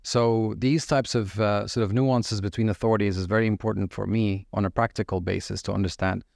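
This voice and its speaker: A middle-aged man from the UAE speaks with a low, gravelly voice. He answers like a practiced lawyer, measured and steady, though he often stops on fillers and restarts phrases. The sound is dry and close. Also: no echo. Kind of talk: podcast interview answers.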